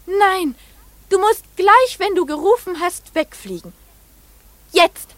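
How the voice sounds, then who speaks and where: Small dreamy girl, high pitched, pleading and wistful, dry studio radio play sound. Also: lively intonation, takes it slowly, a bit bright, dry recording, full character voice. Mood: eager, frightened. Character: dreamy, trusting, coaxing little girl.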